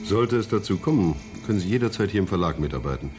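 An actor playing a brisk publisher in a radio drama dialogue. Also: male voice, low voice, expressive, music in the background. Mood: inviting. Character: brisk male publisher offering work.

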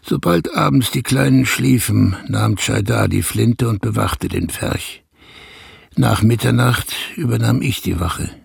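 Radio drama narrator: burdened shepherd, sober, very hoarse-edged and gravelly, dry sound. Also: male voice, medium pitch, a little slow, no room sound, full character voice. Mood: sober, reflective. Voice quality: creaky, very husky. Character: sober, burdened male shepherd recalling hard years. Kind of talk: first-person radio play narration.

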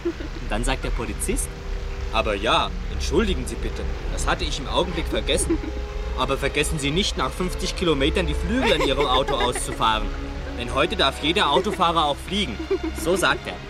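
An actor plays an imaginative, mocking teenage brother in a 1970s German radio drama. The voice is fairly high for a man, and music plays clearly behind it. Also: lively intonation, a little fast, lightly acted. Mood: playful, mock-official.

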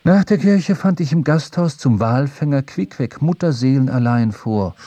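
Actor as a husky, mellow sailor narrator. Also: male voice, mid-range voice, lively intonation, no room sound, close to an everyday voice. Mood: contemplative. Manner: measured, contemplative. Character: reflective sailor narrator recalling his voyage. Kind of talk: literary first-person narration in a radio play.

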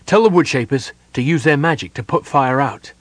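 A man's voice: mid-range voice, animated, a little fast, no echo, voice pushed up, lightly acted. A man voicing a stern, loyal elf commander in an audiobook, formal, clipped and commanding. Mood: urgent, angry.

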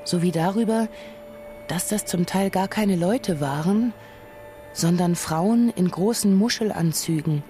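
A woman's voice: lowish voice, a little slow, dark tone, natural voice. A woman acting as the narrator of a radio drama, recounting absurd events evenly in scripted prose. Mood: wry, even. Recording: dry studio, faint music.

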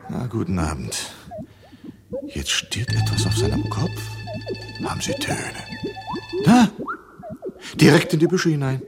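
A man's voice: mid-range voice, huge pitch swings, measured pace, long pauses. An actor playing an agitated man at a window, exclaiming and questioning over strange sights in an absurd radio play. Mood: amazed, alarmed. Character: agitated male window-watcher, alarmed at strange sights outside. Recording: studio radio drama with music behind.

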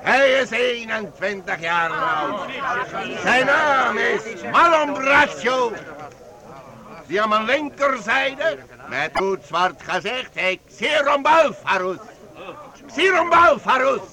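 A man's voice: extremely high pitch, animated, slow, exaggerated voice. A man acting in a period radio drama as a ceremonious medieval fairground showman, proclaiming to townsfolk. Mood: proclaiming, grand. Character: medieval fairground crier announcing exotic fighters to townsfolk. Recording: busy outdoor crowd behind the voice.

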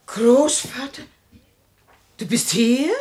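High, anxious housewife. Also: female voice, very slow, darkish tone. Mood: concerned. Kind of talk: 1950s German radio drama acting. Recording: faintly noisy 1950s radio recording.

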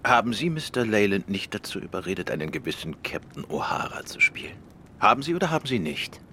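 An actor playing a composed gentleman detective in a German radio crime drama, politely pointed in his questions. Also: fairly low pitch, very animated, fairly quick, slight background noise. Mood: probing. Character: composed, probing gentleman detective, adult man.

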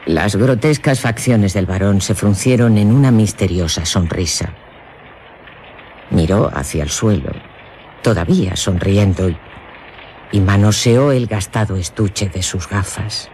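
Man as dark-voiced, low gothic storyteller, background noise. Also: lightly acted. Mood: measured, storytelling. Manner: measured, emphatic, explanatory. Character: earnest narrator recounting a gothic vampire tale. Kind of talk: radio drama narration. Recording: clear background noise and ambience.

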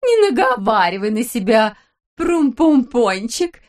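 A woman's voice: on the high side, sing-song, unhurried, pitched above the performer's own voice. A woman voicing a sweet, silly brain-eating wife who coos fond pet names at her husband in a storybook.

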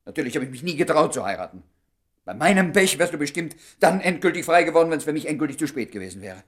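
A man's voice: fairly high pitch, big pitch swings, quick pace, a bit bright. An actor playing a jealous, sardonic, lovesick man in his thirties in a radio comedy drama, blustering and stammering when worked up. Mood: aggrieved. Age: 30s.

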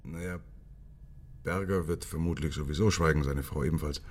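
A man playing a dogged, principled police chief inspector in a German radio drama, speaking in a measured, factual and insistent way. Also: extremely low pitch, steady tone. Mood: resigned, factual.

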